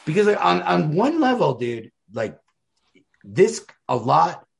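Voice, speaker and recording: A man with a rough, fry-laden voice talks through literature as a podcast co-host. His delivery is animated in pitch and earnest, casual and slangy as he presses each point. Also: takes it slowly. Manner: earnest, emphatic, informal slangy talk.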